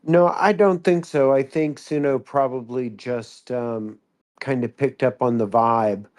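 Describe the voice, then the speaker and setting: Male commentator, average pitch. Casual but analytical, thoughtful explainer. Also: fairly even intonation, a little slow, darkish tone. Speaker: co-commentator giving music feedback.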